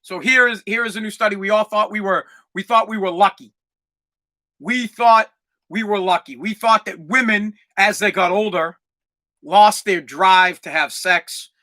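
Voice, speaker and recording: A man in his forties hosting a comedic solo podcast, ranting in a profane, rambling way with lots of stammered restarts and bursts of shouting. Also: high-pitched, measured pace. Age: 40s. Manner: exaggerated, swings into loud shouting. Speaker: podcast host.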